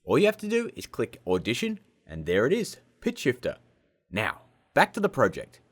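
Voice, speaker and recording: A man hosting a casual software tutorial in a cheeky, self-mocking way, with jokey asides. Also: mid-range voice, huge pitch swings, normal speed. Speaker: host of a video-editing tutorial show. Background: music.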